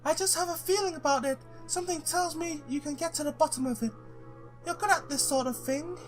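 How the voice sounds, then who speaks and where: Man putting on a very high falsetto worried woman. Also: brisk, soft background music. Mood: hopeful. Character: worried, grateful woman client pleading with a detective.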